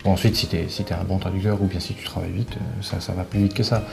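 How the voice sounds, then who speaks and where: A man giving a casual interview as a guest, speaking in a hesitant, halting way and often restarting his phrases. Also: deep voice, flat delivery. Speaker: video game translator, interview guest. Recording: clearly noisy background ambience.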